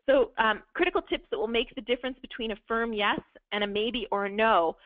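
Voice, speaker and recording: A woman's voice: a little high, fairly quick, no room sound. A woman presenting a live real estate investing webinar, explaining at length with frequent fillers and restarts while her voice wears thin. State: losing her voice. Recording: dry, phone-like webinar audio.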